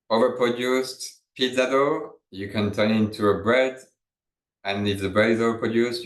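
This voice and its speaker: A man's voice: mid-range voice, a bit bright, reverberant, low-quality recording. A man from France with a French accent, presenting a business webinar in a loose, conversational way with restarts.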